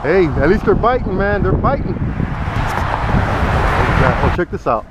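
Excitable young man fishing off a windy bridge. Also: high voice, unhurried, dull audio. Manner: excitable, talks to viewers as "you guys". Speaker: fishing vlogger. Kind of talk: excited casual fishing vlog. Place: outdoors on a roadside bridge over a canal. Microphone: wearable action camera. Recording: loud wind noise outdoors. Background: wind and passing trucks.

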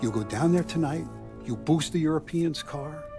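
An actor playing a calculating mob boss in a video game, speaking in a measured, businesslike, authoritative way. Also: male voice, a little high, music in the background, lightly acted. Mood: grave, scheming. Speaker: crime boss. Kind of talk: cinematic video game dialogue.

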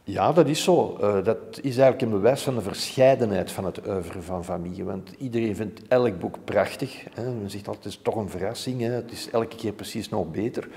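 A Flemish man in his sixties speaks in a low, soft-edged, mellow voice. He explains things informatively, as a curator does in an interview. The room adds a long reverberant tail to his words. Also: brisk, creaky, hardly any pauses. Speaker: museum curator being interviewed. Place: indoor museum room hung with framed art.

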